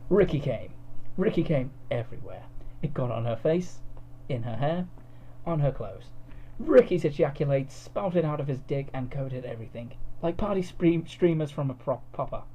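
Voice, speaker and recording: Lively, husky narrator reading fan fiction. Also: medium pitch, normal speed, rough recording.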